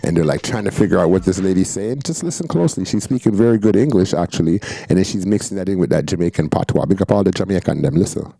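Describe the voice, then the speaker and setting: A man's voice: low voice, animated, quick pace, gravelly, hardly any pauses, mellow, husky, no room sound. A man hosting a podcast, reacting to video clips in casual, slangy commentary and hyping up the speakers. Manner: hyped, interjecting, slangy.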